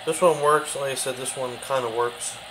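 A man giving a casual, off-the-cuff show-and-tell as a hobby video host, speaking in halting, trailing phrases in a kitchen behind a handheld camera. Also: medium pitch, steady tone. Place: home kitchen, indoors. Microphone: handheld camera, behind the lens. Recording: clearly noisy home camera recording.

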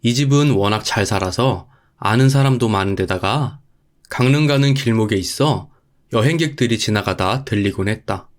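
A man's voice: lowish voice, moderate pace. A man narrating a scripted folktale as a storyteller.

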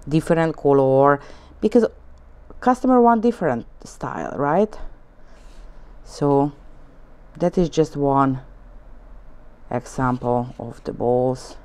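A woman's voice: very deep voice, animated, takes it slowly, pauses often, darkish tone, smooth. A woman from Hungary speaking English with a Hungarian accent, teaching nail art in a chatty, rambling way full of fillers and broken grammar. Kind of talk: casual demonstration talk. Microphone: off-camera voice over hands-only shot.

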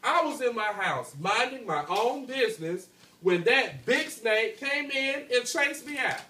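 A man's voice: very high voice, expressive, unhurried, sharp tone, heavily acted. A man reads the part of a small, timid storybook rabbit, full of excuses. The voice is heard at a distance in an echoey room. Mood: timid, defensive. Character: timid little rabbit making excuses in animal folktale. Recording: echoey, noisy classroom, recorded from far off.

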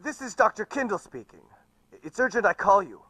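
A man's voice: high voice, sing-song, fairly quick, pushed and bright, slightly rough, no room sound, heavily acted. A man playing a panicky, evasive surgeon with a flustered, whiny manner in a video game. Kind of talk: video game cutscene voice acting.

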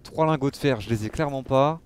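A man's voice: mid-range voice, fast talker, darkish tone, clear, resonant tone. A man giving casual live game commentary, muttering to himself and swearing freely. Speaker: game streamer.

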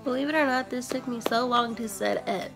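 A woman's voice: a little high, fairly quick, over music. Tipsy giggly young woman vlogging. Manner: loose, giggly, swearing freely. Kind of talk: casual vlog chat. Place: parked car.

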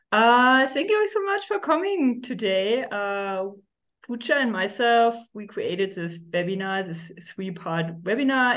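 A woman from Germany with a German accent, hosting a casual webinar over a video call, chatty and full of fillers. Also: medium pitch. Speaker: webinar host, publishing agency founder.

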